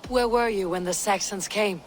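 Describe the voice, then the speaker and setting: Woman playing a stern, mid-pitched Viking warrior. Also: moderate pace, slight background noise, close to an everyday voice. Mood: stern, probing. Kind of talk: video game cutscene acting.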